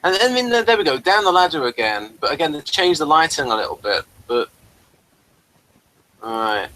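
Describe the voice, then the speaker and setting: A man giving casual, running commentary on a TV episode as a review co-host, rambling and halting, often trailing off mid-sentence. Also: fairly high pitch, big pitch swings, sharp tone, low-quality recording.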